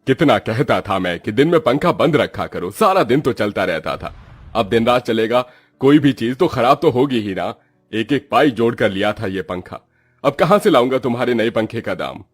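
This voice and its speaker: A man's voice: mid-range voice, expressive, moderate pace, slightly rough, no echo. A man voicing a poor, weary middle-aged farmer father in a cartoon, grumbling and easily irritated. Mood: exasperated. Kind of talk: Hindi moral-story cartoon voice acting.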